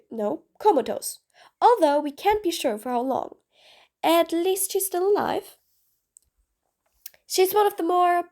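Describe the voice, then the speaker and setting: A woman voicing a cool, blunt female supervillain in an audio roleplay, talking in clipped, commanding, sardonic lines. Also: sits high. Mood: persuasive. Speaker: voice actor. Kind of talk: audio roleplay, one side of a dialogue.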